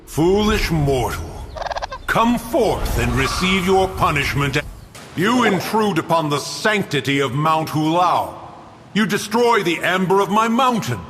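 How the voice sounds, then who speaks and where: Haughty ancient mountain spirit, echoing. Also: male voice, on the high side, a bit bright, full character voice. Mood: wrathful, imperious. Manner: stern, archaic, stately, slow formal speech. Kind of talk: dramatic game voice acting. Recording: long echoing reverb with music behind.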